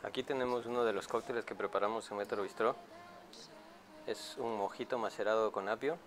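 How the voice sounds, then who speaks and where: A man's voice: fairly low pitch, fairly even intonation, fairly quick, slight background noise. A man in his thirties explaining a drink as a bartender giving a demonstration. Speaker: bartender presenting a cocktail. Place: restaurant bar.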